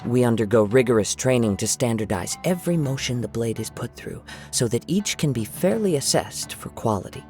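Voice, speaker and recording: A man playing a calm, courteous young wandering swordsman in a video game, gentle and reflective in formal wording. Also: mid-range voice, fairly quick, hardly any pauses, soft background music, close to an everyday voice. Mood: thoughtful, puzzled.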